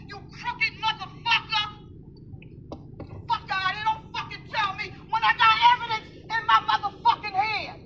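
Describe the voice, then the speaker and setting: A woman's voice: very high-pitched, sharp tone, a little hiss or hum, rough recording. A woman in a furious, profane rant, berating a clerk with nonstop swearing. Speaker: angry customer.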